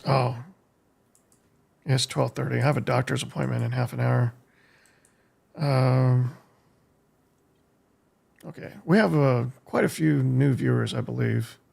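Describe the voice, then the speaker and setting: An American man streaming a game, giving casual live commentary in a halting way as he thinks aloud, with a gravelly, husky voice. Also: mid-range voice, a little mellow. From United States. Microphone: streaming desk microphone.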